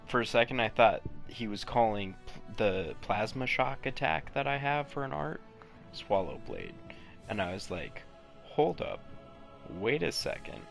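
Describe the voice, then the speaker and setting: A man's voice: mid-range voice, very animated, measured pace, gravelly, a bit bright. A young man streaming a video game from home, chatty and casual, swearing, laughing and humming to himself. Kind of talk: casual live gameplay chat. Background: game music.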